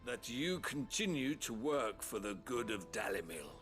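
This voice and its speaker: An actor playing an earnest, contrite town leader in a fantasy game, speaking solemnly and formally. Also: male voice, medium pitch, very animated, measured pace, fairly bright tone, soft background music. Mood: solemn, resolved. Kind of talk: dramatic video game dialogue.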